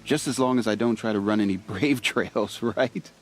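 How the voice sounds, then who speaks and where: Man acting a gentle, earnest young tribesman over music. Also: fairly low pitch, moderate pace, lightly acted. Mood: relieved, modest. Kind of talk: video game cutscene acting.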